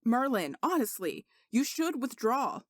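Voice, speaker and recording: A woman's voice: fairly high pitch, big pitch swings, a little slow, no echo, clean studio sound. A woman voicing a worried, doubting young king who speaks earnestly and with some exasperation to a friend in a story reading.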